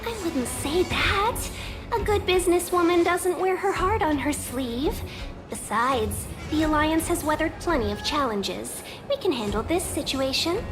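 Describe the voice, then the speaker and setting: A woman voicing a genteel, playfully cautious young fox-woman merchant guide in a video game, speaking in a polished, teasing way. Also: high voice. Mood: composed, light.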